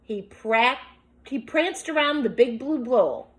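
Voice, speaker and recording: A woman's voice: on the high side, lively intonation, slow pace. A woman speaking as a teacher, reading a picture book aloud with commentary and prompting young listeners with questions as if to a class. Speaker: teacher demonstrating a children's read-aloud.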